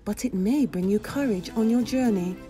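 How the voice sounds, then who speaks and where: A woman voicing a gentle, reassuring noble lady and mother in a storybook. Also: medium pitch, normal speed, faint music behind, pitched above the performer's own voice, natural voice.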